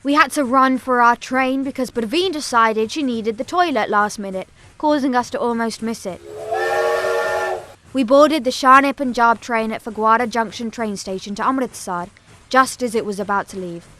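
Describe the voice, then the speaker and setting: A woman playing an eager young Punjabi village girl, telling her story in expressive first-person dramatic narration. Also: fairly high pitch, normal speed, slight background noise, lightly acted. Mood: lively.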